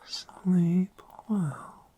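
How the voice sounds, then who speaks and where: A performer voicing a friendly, gentle dragon in a soft-spoken ASMR roleplay, breathy and mostly whispered, soothing and lingering over words. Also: mid-range voice, fairly even intonation. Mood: sleepy, tender. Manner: slow, soothing, lingering repetition. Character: friendly, gentle dragon host of a sleepy hoard.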